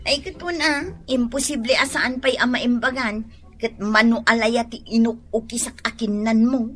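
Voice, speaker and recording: An actress playing an excitable village neighbour who exclaims animatedly in a radio drama. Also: female voice, on the high side, moderate pace. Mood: resigned. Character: excitable village neighbour woman caught pilfering vegetables. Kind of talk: Filipino radio drama acting.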